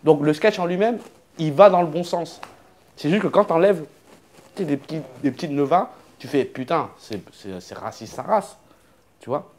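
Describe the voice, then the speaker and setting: A man's voice: fairly high pitch, expressive, normal speed, a little mellow. A young adult man, a comedian guest, talking in a casual conversation and weighing his words carefully.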